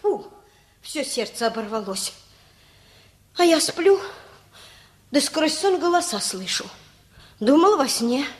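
A woman's voice: sits high, sing-song, slow pace, long pauses, echoey room, full character voice. An actress playing a flustered, homely old woman who is easily alarmed, in a radio play.

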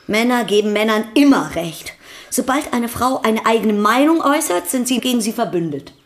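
An actress playing a prim, sharp-tongued young hostess in a radio drama, speaking crisply and reproachfully. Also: female voice, on the high side, expressive, moderate pace.

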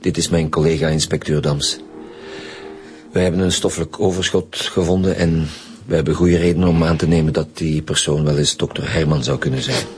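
A man with a Flemish accent playing a gruff, teasing homicide commissioner in a colloquial radio drama. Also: rumbling low, flat delivery, brisk, darkish tone, faint music behind. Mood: formal. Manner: colloquial, wry. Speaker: police commissioner.

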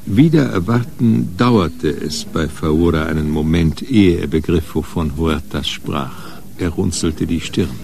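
A man's voice: deep voice, measured pace, gravelly, few pauses, darkish tone. A man narrating a radio crime drama in a scripted, literary style.

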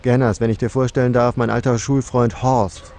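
Chatty blue-collar giant played by a man: quick, gravelly, casual, for a fantasy parody. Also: mid-range voice, flat delivery, background noise, close to an everyday voice. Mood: weary, casual. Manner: casual, matter-of-fact. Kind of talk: German fantasy parody audio drama.

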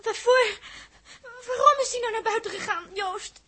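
A frightened young woman aboard a spaceship, with an extremely high, piercing voice. She pleads plaintively, in the heightened manner of a 1960s radio play on an old recording. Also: normal speed, pushed and bright. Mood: distraught. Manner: plaintive, fearful delivery. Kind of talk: 1960s radio drama acting.

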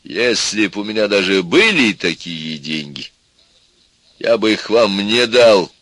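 A man's voice: low voice, very animated, normal speed, pushed and bright, no room sound, exaggerated voice. A man voicing a stern, sober-minded fellow in a story, firm, brusque and skeptical.

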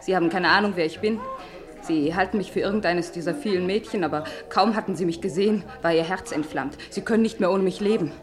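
An actress in a radio play, playing a bored, mocking young heiress with a scornful, imperious manner. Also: female voice, fairly low pitch, fast talker, close to an everyday voice.

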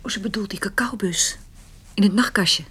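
An actress in a radio play playing a caring, practical woman at a sickbed, talking softly and attentively. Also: medium pitch, moderate pace, slightly rough. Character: caring, practical woman tending a sick elder. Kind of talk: naturalistic radio play acting. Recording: noisy old radio play recording.

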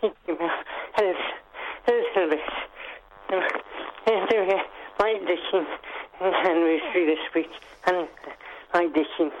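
Halting man on a noisy phone line. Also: high-pitched, steady tone. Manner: halting, repetitive, struggling to get words out. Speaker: caller.

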